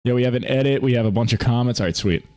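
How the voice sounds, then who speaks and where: A man in his twenties with a mid-pitched voice co-hosts a casual podcast. He talks like he is among friends, loose and slangy, with the odd curse. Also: rapid-fire, dark tone. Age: 20s. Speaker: podcast co-host.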